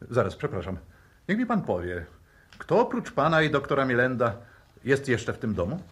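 An uneasy scientist in an old radio play. His mid-pitched voice is raspy and animated, formal and insistently probing. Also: moderate pace. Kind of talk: 1960s Polish radio drama acting.

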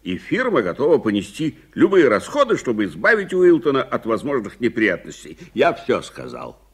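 An actor playing a hot-tempered, blustering businessman in a 1980s Soviet radio drama, husky, emphatic and volatile. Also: medium pitch, huge pitch swings, moderate pace. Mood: defiant.

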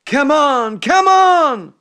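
A woman voicing a rowdy, loud lodging-house man who hollers and bosses the boys around in a storybook reading. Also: high-pitched, expressive, drawn-out words, voice pushed up, exaggerated voice. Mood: hollering. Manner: hollering, bossy.

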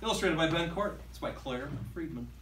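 Guy doing a playful storybook read for kids, kinda high voice, far from the mic in a classroom. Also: brisk. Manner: expressive, playful. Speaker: guest reader for a class of young children. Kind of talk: animated picture-book read-aloud with chatty asides. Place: school classroom, standing before seated children. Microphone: distant camera microphone. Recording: echoey classroom from afar, faint noise.

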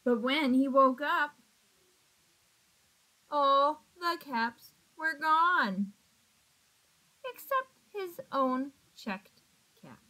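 High-voiced woman reading slowly to children. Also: low-quality recording. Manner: expressive, deliberate, asks the young listeners questions. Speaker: storybook reader. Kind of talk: children's picture book read-aloud. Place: small indoor room with block walls. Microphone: webcam or laptop at arm's length.

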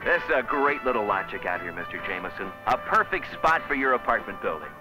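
Gushing salesman, wildly swinging pitch. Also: very animated, fairly quick, poor audio. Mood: eager, fawning. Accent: American. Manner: gushing, agreeable, overdramatic. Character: eager, fawning male property salesman. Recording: old film soundtrack with music.

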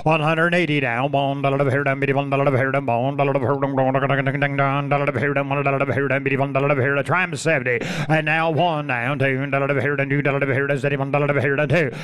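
A man working as a livestock auctioneer, calling bids in a fast rhythmic chant with rolling filler words. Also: mid-range voice, flat delivery, a bit bright, smooth. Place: auction studio.

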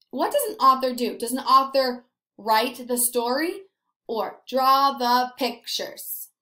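A woman's voice: a little high, fairly bright tone. A young woman teacher speaking to small children in simple, encouraging language with questions, recorded on a webcam in a room at home. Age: young adult. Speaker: elementary school teacher. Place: indoors, small apartment room. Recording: roomy home webcam sound.

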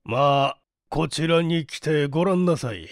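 A man voicing an anxious, excitable country gentleman in a dramatic story reading, with an agitated, emphatic delivery. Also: a little high, unhurried, no echo, studio quality.

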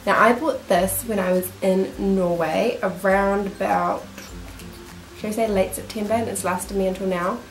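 A woman's voice: mid-range voice, fairly even intonation, normal speed, faint music behind. A young woman with a New Zealand accent talks through her skincare products in a chatty, enthusiastic way. A cold leaves her voice nasal. She sits in a small room facing a camera, and the room gives the sound a noticeable echo. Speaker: beauty vlogger. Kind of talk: casual product review talk. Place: small room indoors, seated. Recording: roomy echo.

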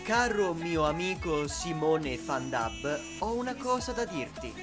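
A man's voice: fairly high pitch, animated, unhurried, over music. A man proclaiming an exuberant birthday greeting in a bombastic manner.

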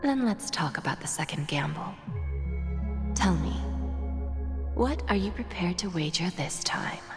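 An actress playing a poised, seductive businesswoman in a video game, languid and breathy as she toys with someone. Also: lowish voice, expressive, unhurried, pauses often. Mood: cool curiosity. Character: poised, seductive, powerful businesswoman toying with a prisoner. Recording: reverberant, with music underneath.

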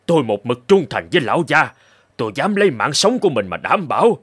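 A man's voice: medium pitch, very animated, a little fast, noisy background, close to an everyday voice. A man playing a loyal, anxious steward in a cartoon folktale, speaking in a deferential, pleading way. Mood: pleading, earnest. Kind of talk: animated folktale acting.

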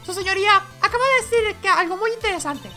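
Woman playing a very high-voiced confident mentor. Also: fast, pushed and bright, soft background music. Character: confident, encouraging female lawyer mentor.

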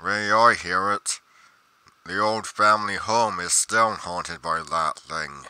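Game roleplay casting: pompous, musing male investigator acted by a man, low-pitched, dry close sound, emphatic journal monologue. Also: takes it slowly, bright, forceful tone, clean tone, no echo, full character voice. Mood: grave, dramatic. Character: pedantic, musing male paranormal investigator narrating his journal.

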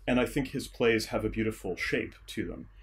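A man speaking as an interview guest, giving measured, articulate analysis as a theatre scholar and director. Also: lowish voice, breathy edge. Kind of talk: thoughtful analytical interview talk.

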